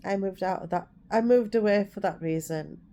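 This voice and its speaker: A woman's voice: medium pitch, moderate pace, mellow, slight background noise. A woman in her forties with an English accent touched by Scouse, telling personal stories casually to her viewers and correcting herself as she goes. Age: 40s. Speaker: vlogger. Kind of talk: casual storytelling to the camera.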